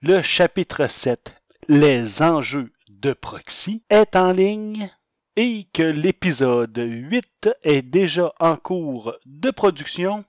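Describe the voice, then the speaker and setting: A man's voice: a little high, no echo. A man presenting a podcast, delivering a scripted announcement in a dry, lo-fi recording.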